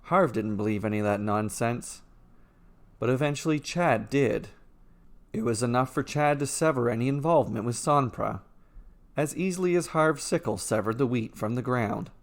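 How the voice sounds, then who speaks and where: A man's voice: medium pitch, moderate pace. A man reading a scripted fairy tale as its storyteller and narrator. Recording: music playing behind the voice. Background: instrumental music.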